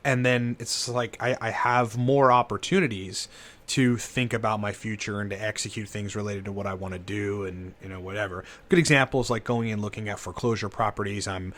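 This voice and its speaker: A man's voice: fairly low pitch, steady tone, fairly quick, a bit bright. A man in his late thirties talks casually and unscripted, rambling with many "you know"s and "like"s and swearing freely. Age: 30s. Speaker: channel host, entrepreneur. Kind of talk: casual unscripted monologue to camera.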